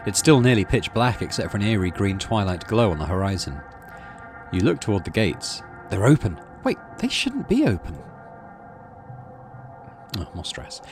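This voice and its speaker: A man's voice: fairly low pitch, a little hiss or hum. A middle-aged man hosting a gaming video, reading story text aloud and adding casual commentary. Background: game music.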